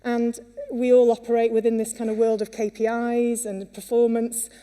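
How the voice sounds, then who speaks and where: A woman's voice: a little high, flat delivery, normal speed, mellow, smooth. A Welsh woman giving an earnest conference talk, with many fillers and restarts. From Wales. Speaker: conference speaker. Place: conference hall. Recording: long hall reverb.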